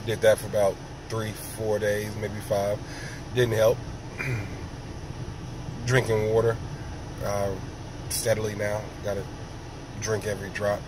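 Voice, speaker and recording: Hoarse guy w a sore throat, low raspy voice, lots of ums, noisy background. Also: little pitch movement. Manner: rambling, slow, many ums and throat clearing. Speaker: vlogger. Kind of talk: casual monologue to camera.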